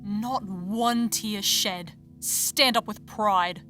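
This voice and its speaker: A woman as a slow, stern tragic chorus. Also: mid-range voice, pushed and bright, soft background music. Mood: grave. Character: stern, accusing female chorus voice of Greek tragedy. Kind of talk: dramatic theatrical audio play.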